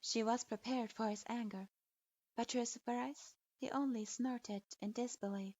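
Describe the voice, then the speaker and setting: A woman narrating fan fiction aloud in a steady storytelling manner, recorded with a dull lo-fi sound. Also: a little high, moderate pace, no echo.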